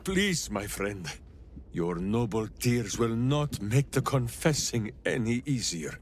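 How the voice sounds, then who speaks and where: A man's voice: mid-range voice, big pitch swings, slow pace, slight background noise. A man playing a big-hearted, simple warrior ranger in a video game, bombastic and oddly formal. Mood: solemn confession. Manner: bombastic, refers to himself in third person, oddly formal phrasing. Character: big-hearted, simple heroic ranger warrior who talks through his hamster. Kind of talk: theatrical video game character acting. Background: background music.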